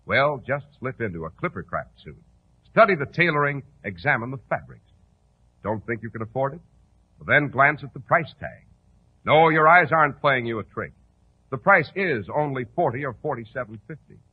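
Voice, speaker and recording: A man with an American accent announcing and pitching for a sponsor in polished, emphatic 1940s radio style. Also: slightly rough. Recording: tinny, narrow old radio recording.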